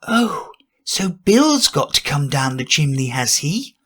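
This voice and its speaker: Guy reading it doing a prim little girl thinking out loud, voice bouncing up and down, storybook style. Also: a little high, sing-song, slow pace, voice pushed up, full character voice. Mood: musing. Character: curious, sensible young girl talking to herself.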